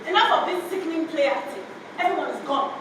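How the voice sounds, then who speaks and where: Stage drama: a defiant, embittered widowed wife, very high voice, theatrical and emotional, in a very reverberant, noisy hall. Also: female voice, quick pace. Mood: scornful. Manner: declamatory, emotional. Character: bitter, defiant childless wife newly widowed in a stage play. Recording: very echoey stage, distant mic, noisy.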